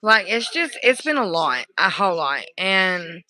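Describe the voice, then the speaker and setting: Hoarse bright-voiced woman. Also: mid-range voice, sing-song, unhurried, poor audio. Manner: rambling, emotional, apologetic. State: hoarse from screaming. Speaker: livestreamer. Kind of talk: casual chat to live viewers.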